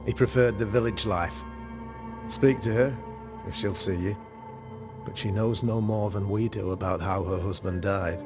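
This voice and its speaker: Pompous, courteous governor played by a male actor, lower register, formal period game delivery. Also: fairly even intonation, measured pace, close to an everyday voice. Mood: self-assured. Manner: formal, wordy, self-satisfied. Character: pompous, courteous colonial governor of middle age. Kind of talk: period drama game acting. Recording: music under the voice.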